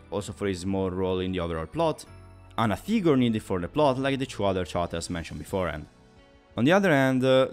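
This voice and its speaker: A man giving a scripted video essay review as commentary, in a wordy, analytical way with emphatic phrasing. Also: medium pitch.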